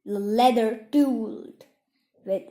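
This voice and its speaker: A woman reads fiction aloud in a fairly high voice. Her narration is slow and measured, broken into short phrases. The recording is roomy and echoing. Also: mellow. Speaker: audiobook reader. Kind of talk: scripted story narration.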